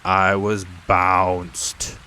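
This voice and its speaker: A man's voice: sits low, slight background noise, heavily acted. A man putting on a glum old donkey drawl. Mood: gloomy. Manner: slow, flat and glum. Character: gloomy old male donkey, slow and sarcastic.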